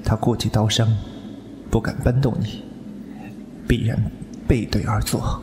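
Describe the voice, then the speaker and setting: Radio drama wuxia role: calculating young swordsman, male actor, icy, flat, matter-of-fact, in an echoey space. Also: fairly low pitch, a little slow, noisy background, close to an everyday voice. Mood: cold, composed. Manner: terse, controlled, matter-of-fact. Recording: echoey, loud music underneath.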